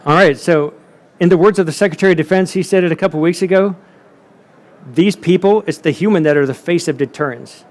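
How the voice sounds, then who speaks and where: A man's voice: fairly high pitch, fairly quick. A man moderating a conference panel, speaking emphatically and often repeating words as he builds a point.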